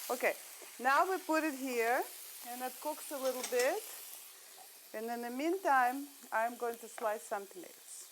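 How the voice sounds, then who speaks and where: A woman's voice: high-pitched, a little slow, a bit bright, a little hiss or hum, low-quality recording. A middle-aged woman from Russia, a guest talking through each step as she cooks on a TV show and laughing easily. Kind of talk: cooking demonstration chat.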